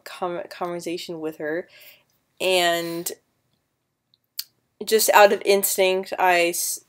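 A woman in her twenties speaks to viewers from her living-room sofa, her pitch sitting slightly low. She stutters often, catching on sounds and repeating words, while keeping an earnest, encouraging tone. The sound comes through a built-in webcam microphone. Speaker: vlogger. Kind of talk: casual vlog to camera. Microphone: built-in webcam mic.

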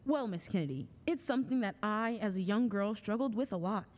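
A woman's voice: mid-range voice, lively intonation, brisk. A young woman performing scripted narration for a stage radio play. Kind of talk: scripted narration and sponsor skit. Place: school auditorium stage.

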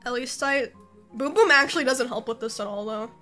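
A woman's voice: on the high side, fairly quick, pushed and bright, faint music behind. A young woman in her twenties streaming from her bedroom, nasal and congested, bantering playfully with chat. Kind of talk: casual livestream chat.

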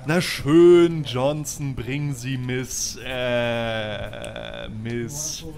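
A man's voice: mid-range voice, fairly even intonation, very slow, gravelly, darkish tone. A man playing an irate, blustering FBI agent in an audio drama, with a scolding manner. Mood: flustered.

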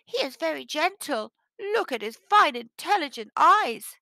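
A woman's voice: high-pitched, big pitch swings, measured pace, gravelly, sharp tone, dry recording, pitched above the performer's own voice, full character voice. A woman voicing a poor, humble, anxious village father in a storybook, speaking respectfully and pleadingly. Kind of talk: storybook character voice.

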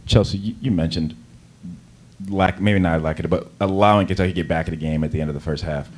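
A male sports reporter asking players questions at a press conference, brisk and restarting his questions. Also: low-pitched, husky.

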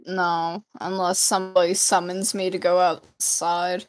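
Woman co-player, slow easy delivery, lots of giggles, dry mic. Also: lowish voice, flat delivery, no room sound. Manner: giggly, laid-back, drawn-out speech. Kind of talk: casual gameplay chat.

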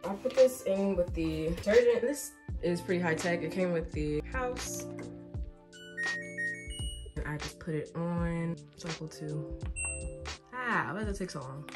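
A woman's voice: lowish voice, expressive, measured pace, pauses often. A woman in her twenties with an American accent chats casually and informally to camera in slangy language while doing chores at home. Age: 20s. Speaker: home and cleaning vlogger. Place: inside house rooms, bedroom and basement. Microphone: camera or phone set at a distance. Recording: roomy home echo, distant mic, background music. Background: music.

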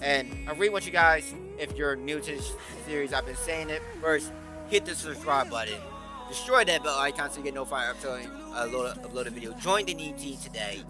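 A man's voice: fairly high pitch, a little fast, music in the background. A young man with a headset mic in his bedroom, giving hyped, playful commentary on his game and joking about his own singing. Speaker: gaming YouTuber. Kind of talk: casual gameplay commentary and outro. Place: bedroom at home, indoors.

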